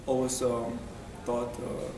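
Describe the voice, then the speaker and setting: A man's voice: mid-range voice, monotone, takes it slowly. A young man in his twenties from Syria, a student guest, answering plainly with fillers. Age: 20s. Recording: echoey room, far from mic, noise.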